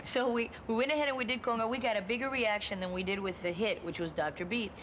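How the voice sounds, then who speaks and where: A woman's voice: fairly high pitch, steady tone, quick pace, noisy background. Chatty woman telling stories in an interview. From Cuba. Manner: chatty, acts out remembered dialogue. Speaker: interviewee, musician. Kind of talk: interview storytelling.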